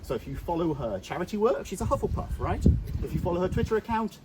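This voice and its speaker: A man's voice: sits high, very animated, quick pace, heavy background noise. A man working as a tour guide outdoors, leading the group in a playful, theatrical way. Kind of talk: guided walking tour patter. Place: outdoors in a foggy graveyard.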